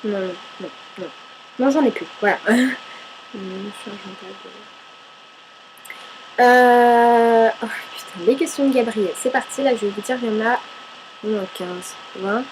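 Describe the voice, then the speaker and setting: A woman's voice: a little high, unhurried, long pauses, noisy background. A woman from Paris chatting casually to camera as she answers viewer questions, chatty and self-mocking, swearing casually and arguing back and forth with herself. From Paris, France. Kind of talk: casual FAQ chat to camera.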